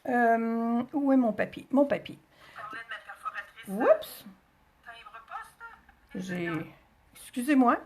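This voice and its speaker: A woman's voice: fairly high pitch, animated, extremely slow, pauses often, a little mellow. A woman with a Quebec French accent hosting a craft tutorial, talking informally step by step and thinking aloud as she goes. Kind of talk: casual step-by-step demonstration.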